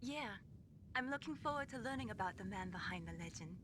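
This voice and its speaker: An actress playing a friendly young military technology specialist in a video game. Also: female voice, medium pitch, animated, brisk, noisy background, natural voice. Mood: friendly, flattered.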